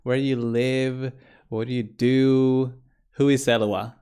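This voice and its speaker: A man hosting a podcast, welcoming his guest in a friendly way, often pausing with fillers and repeating words as he goes. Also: mid-range voice, level tone, mellow, clean tone. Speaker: podcast host. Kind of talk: casual interview hosting.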